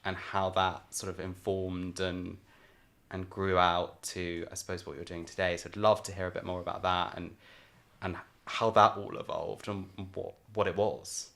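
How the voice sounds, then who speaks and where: Deep voiced guy hosting a podcast, chatty interview style. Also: gravelly, a bit bright. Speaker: podcast host. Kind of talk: conversational interview introduction and questioning.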